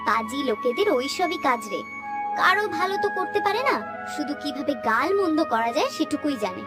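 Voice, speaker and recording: A woman's voice: high voice, sing-song, fairly quick. A woman playing a kind, protective village aunt in a moral-story cartoon, fussing and scolding affectionately. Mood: indignant. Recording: music playing behind the voice.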